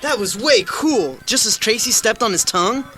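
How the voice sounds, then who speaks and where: A woman's voice: on the high side, expressive, normal speed, natural voice. A woman playing a brash, teasing, curious young boy in an audio drama. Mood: intrigued, concerned. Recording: old cassette with faint music.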